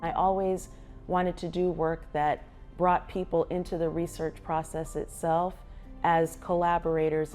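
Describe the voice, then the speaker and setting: Female professor, middle-aged, low and mellow, explaining her work on camera with light music behind. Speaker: public health professor. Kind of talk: documentary interview.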